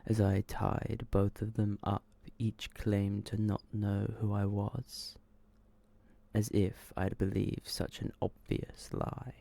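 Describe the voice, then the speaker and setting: Deep, slow, hushed man reading ASMR. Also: completely flat delivery, gravelly. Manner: slow, hushed, subdued delivery. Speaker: ASMR storyteller. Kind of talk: ASMR horror story reading.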